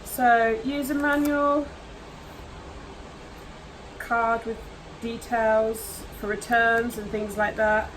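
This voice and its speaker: A woman's voice: on the high side, little pitch movement, very slow, pauses often, noisy background. A woman with hay fever, chatting casually and gushing as she unboxes nail products at home. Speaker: nail vlogger. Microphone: camera mic, voice off camera.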